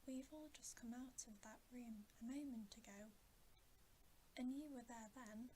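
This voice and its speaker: A woman's voice: fairly high pitch, measured pace, mellow, slight background noise, natural voice. A woman voicing a sensible, dismissive older sister from a storybook, scolding in a half-whisper.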